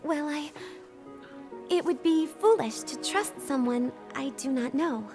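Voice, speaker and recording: A woman playing a kind, shy young peasant goat girl with a gentle, polite manner in an audio drama. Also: high-pitched, animated, a little slow. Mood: apologetic. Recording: music under dialogue.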